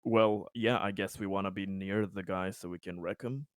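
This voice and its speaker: A man plays a tabletop game, talking casually through his moves. His voice sits a little low, and the recording is dry with no room around it. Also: steady tone, moderate pace, clear, resonant tone, dry recording.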